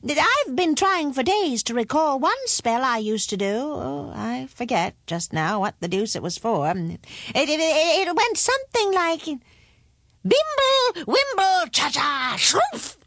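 Woman acting a flustered old wizard, high and hesitant. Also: sing-song, slow, a bit bright, dry recording, studio quality, heavily acted. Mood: flustered, rambling. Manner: hesitant, stammering, muttering to himself. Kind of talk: storybook character voice.

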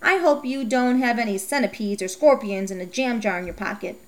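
A woman's voice: a little high, brisk, close to an everyday voice. A woman voicing a fussy elderly grandmother giving a warning. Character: fussy old grandmother in a rocking chair.

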